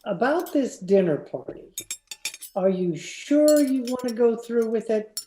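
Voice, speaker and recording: A man's voice: very high voice, expressive, unhurried, warm tone. A man playing an exasperated, skeptical husband with a dry, sardonic manner in an old-time radio comedy. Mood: wary, sardonic. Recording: roomy, echoing home recording with noise.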